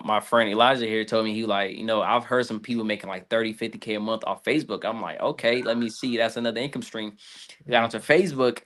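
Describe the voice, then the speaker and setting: Fast-talking low-voiced young man. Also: a bit bright. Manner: rambling, fillers like "like". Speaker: guest, social media creator. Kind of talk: casual interview talk.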